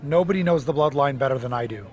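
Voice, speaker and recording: A man's voice: mid-range voice, fast, natural voice. A man acting as an earnest wrestler in a game cutscene, persuasive and cautioning, a loyal would-be ally. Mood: earnest warning. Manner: urgent, persuasive.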